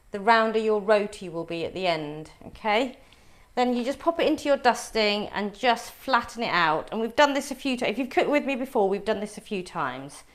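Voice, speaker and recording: A woman's voice: a little high, fairly bright tone. A middle-aged woman whose first language is Punjabi, chatting as she demonstrates a recipe step by step for viewers in a live cooking class. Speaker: cooking teacher.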